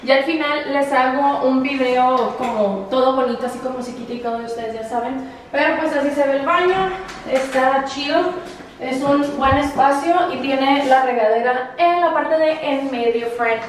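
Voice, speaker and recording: A woman's voice: high voice, moderate pace, a little hiss or hum. Young woman vlogging a house tour, bubbly n slangy, mic kinda far off, super echoey empty rooms. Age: 20s. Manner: bubbly, enthusiastic, informal slang. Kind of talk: casual house tour vlog. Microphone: camera held by another person, few metres away.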